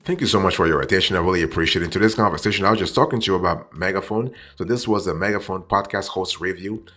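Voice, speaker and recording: A man giving an explanatory review as a host, deliberate in delivery, repeating phrases and checking in with the listener as he goes. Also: low voice, sharp tone, soft background music. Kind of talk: explanatory software review, semi-scripted.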